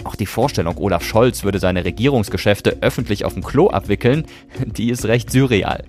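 A man's voice: on the low side, moderate pace, darkish tone, soft background music. A man, hosting a podcast with scripted narration. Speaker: podcast host.

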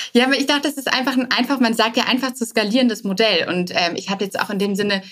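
A woman's voice: a little high, fairly even intonation, a little fast, a bit bright. A woman in her thirties telling her story casually as a podcast guest, informally mixing in English business jargon. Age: 30s. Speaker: podcast guest, startup founder.

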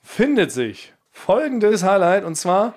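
Male podcast co-host, fairly high voice, playful and mock-serious, loose comic banter. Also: sing-song, takes it slowly, a little mellow. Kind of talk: casual comedic banter.

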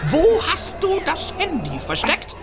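Over loud music, a stern witch interrogates in a high, slow voice. The delivery is commanding and theatrical, like a menacing audio drama villain. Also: wildly swooping pitch, full character voice. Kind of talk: German audio drama acting. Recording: played clip with loud music underneath.

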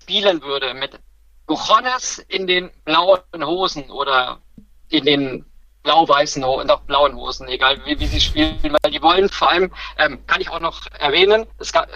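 Guest fan, man, talks in bright, chatty runs with self-corrections, on a slightly dull remote line. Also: a little high, normal speed. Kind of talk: casual podcast chat.

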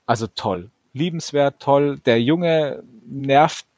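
A man's voice: mid-range voice, takes it slowly, darkish tone, dry recording, clean studio sound. Enthusiastic man gushing about a game. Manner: enthusiastic, swears casually, frequent restarts. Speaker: podcast co-host. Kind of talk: casual chat.

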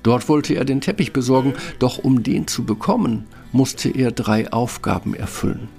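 A man narrating a fairy tale from a script in a wry, measured storytelling manner. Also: mid-range voice, vocal fry, dark tone, soft background music.

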